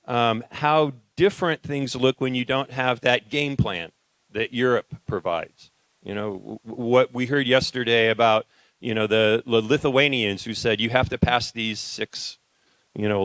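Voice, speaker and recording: Smooth-voiced man moderating a conference panel. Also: medium pitch, a bit bright, no room sound. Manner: loose, conversational, frequent fillers and restarts. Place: conference hall.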